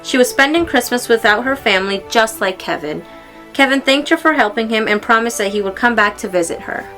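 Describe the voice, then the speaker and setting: Bright-voiced woman reading a children's story. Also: a little high, fairly quick, faint music behind. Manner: expressive, acting out exclamations and dialogue. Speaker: storybook reader. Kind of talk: read-aloud children's story narration.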